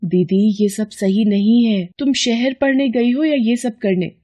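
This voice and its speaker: A woman's voice: on the high side, unhurried, mellow, husky. A woman voicing an earnest, devoted and principled younger sister in a Hindi cartoon story, with a pleading manner. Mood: worried, reproachful.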